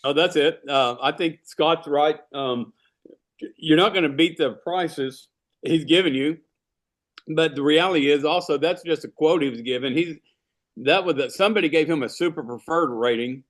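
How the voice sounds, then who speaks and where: A man's voice: a little high, normal speed. A man leading an insurance team's training call, talking loosely with many fillers and restarts. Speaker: insurance agency leader running a team training call. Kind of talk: casual team meeting talk.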